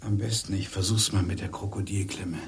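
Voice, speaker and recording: An actor in a radio play playing a shaken cable technician who mutters haltingly to himself. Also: male voice, deep voice, steady tone. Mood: resigned persistence.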